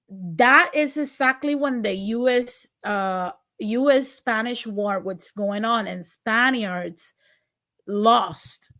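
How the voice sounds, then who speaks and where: Puerto Rican woman hosting a live political show, slightly high voice, blunt and sarcastic, reads chat aloud. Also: bright, forceful tone. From Puerto Rico. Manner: impassioned and blunt, with sarcasm and occasional profanity. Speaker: livestream host. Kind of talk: political commentary, reading viewer comments.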